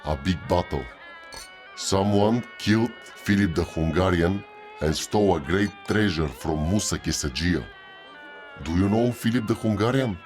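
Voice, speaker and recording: An actor playing a gruff, sluggish tavern innkeeper in an audio drama. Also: male voice, sits low, moderate pace, darkish tone, soft background music, close to an everyday voice. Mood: gossipy.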